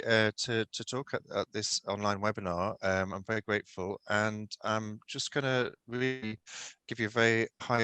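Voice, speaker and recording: A man's voice: on the low side, sharp tone, no echo. A British man giving a webinar talk over a video call, explaining step by step with many ums and restarts. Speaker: guest speaker, pharmacognosist. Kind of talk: webinar presentation.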